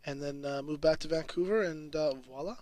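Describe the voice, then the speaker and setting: Young canadian dentist guy from alberta, kinda high voice, laid back, ums a lot. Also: a little fast, no room sound. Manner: laughs easily, relaxed, hesitant fillers. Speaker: dentist guest. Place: small radio studio.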